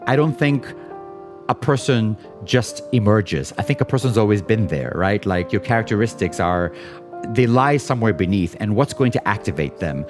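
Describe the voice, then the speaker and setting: A man in an interview speaking reflectively as a director, with emphatic repetition. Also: fairly low pitch. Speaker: theater director being interviewed.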